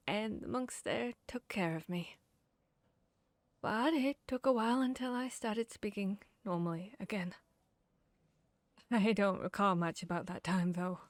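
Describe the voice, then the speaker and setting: A woman acts as a young female vampire hunter in a fantasy ASMR roleplay, haunted yet self-deprecating. She speaks slowly and softly at a medium pitch, pausing as she confides. The sound is dry, with no room around the voice. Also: no echo. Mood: subdued, haunted.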